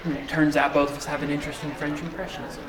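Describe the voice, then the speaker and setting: An actor playing a bashful, casual police officer in audio drama dialogue, folksy, hesitant and a little defensive. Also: male voice, fairly high pitch, flat delivery, rapid-fire, lightly acted. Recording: chattering crowd behind, busy indoor ambience. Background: crowd chatter.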